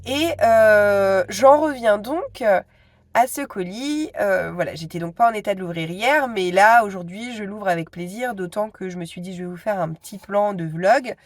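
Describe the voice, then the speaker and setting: A woman's voice: mid-range voice, normal speed, no echo. A woman in her thirties with a cold, chatting casually to her phone camera at home, hesitant and full of asides. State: stuffy with a cold. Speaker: vlogger.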